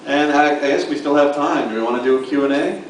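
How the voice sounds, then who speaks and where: A man's voice: on the high side, fast. A man hosting a live panel, chatting casually and joking in an informal way with the audience and guests. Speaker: host of a live radio show panel. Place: large convention room with audience. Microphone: far camera in the audience. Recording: echoey hall, distant camera, noisy crowd.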